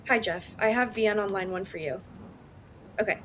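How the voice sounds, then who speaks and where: A woman's voice: medium pitch, normal speed, noisy background, natural voice. An actress playing a casual, friendly female office coworker. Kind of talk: audio drama dialogue.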